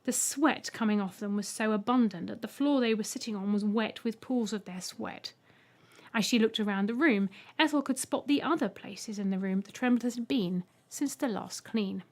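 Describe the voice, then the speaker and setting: A woman's voice: mid-range voice, normal speed. A woman reading a scripted short story aloud as an audiobook narrator.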